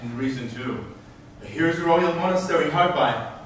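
A man's voice: fairly high pitch, normal speed, natural voice. A man on stage playing a villainous slave, an eager accomplice to his master, in a staged reading of Elizabethan drama. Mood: eager scheming. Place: on stage in a recital hall. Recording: echoey hall, far mic, noisy.

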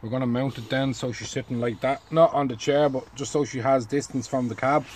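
A man's voice: mid-range voice, fairly even intonation, brisk, darkish tone, slight background noise. A man in his thirties bantering casually, blunt, sweary and goading, caught on a handheld device in and around a van. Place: in and beside a parked van, door open. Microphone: phone or camera held by another person.

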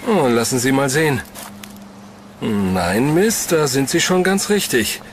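A man's voice: mid-range voice, expressive, unhurried, slight background noise, natural voice. A man playing a gossipy, sceptical passer-by in a horror audio drama, chatty and knowing. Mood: chatty, puzzled. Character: sceptical, gossipy male passer-by, mildly mocking.

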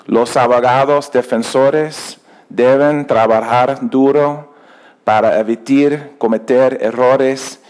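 A middle-aged American man delivers an earnest keynote in careful second-language Spanish. His English accent is plain in his vowels and rhythm. He speaks slowly into a podium microphone in an auditorium. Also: mid-range voice, fairly even intonation, a little mellow. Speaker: keynote speaker, project director. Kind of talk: prepared speech in a second language.

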